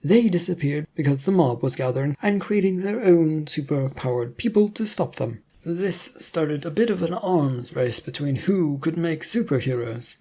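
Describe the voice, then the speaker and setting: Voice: mid-range voice, dark tone, husky. A storyteller voicing a thin, small government agent who speaks in an urgent, formal, insistent way.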